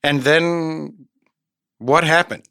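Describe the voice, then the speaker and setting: A man's voice: a little high, steady tone, very slow, gravelly, sharp tone, smooth, no echo, studio quality. A man hosting an interview, posing thoughtful questions and reflections to his guest. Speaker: podcast host, rabbi.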